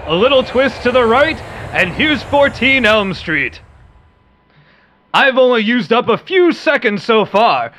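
A man's voice: very high voice, sing-song, measured pace, sharp tone, full character voice. A man playing a confident, heroic superhero in an old-fashioned radio play reading. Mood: confident, busy.

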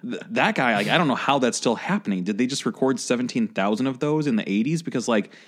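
A man's voice: medium pitch, animated, quick pace. A man in his forties hosting a podcast interview, talking casually with lots of "like" and some swearing. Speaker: podcast host.

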